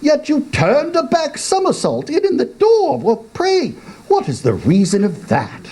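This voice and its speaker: A man's voice: very high-pitched, wildly swooping pitch, a little slow, mellow, voice pushed up, full character voice. A man voicing an earnest, nosy young man who questions his old father in emphatic disbelief, in a comic poetry reading. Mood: nosy, teasing.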